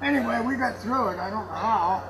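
A man's voice: high-pitched, a little fast, crowd noise. A man in his seventies rambling through casual anecdotes in a folksy, salty way. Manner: folksy, salty, laughs while telling stories. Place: indoors in a warehouse with block walls. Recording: clearly noisy warehouse room ambience.

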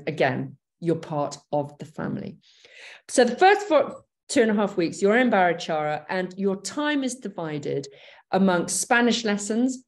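A woman's voice: on the low side, a little mellow. Chatty woman on a video call. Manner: chatty, enthusiastic, many fillers and restarts. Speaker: gap year program briefer. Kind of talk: online briefing. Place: home office, indoors.

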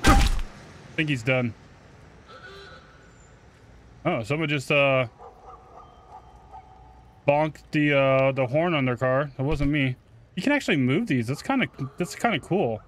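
A man's voice: medium pitch, expressive, a little slow, long pauses, fairly bright tone, slight background noise. A man giving casual, slangy gameplay commentary, swearing and talking back to the game's characters. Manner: profane, slangy, talks to game characters. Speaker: gaming YouTuber. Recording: game music behind the voice. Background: video game music.

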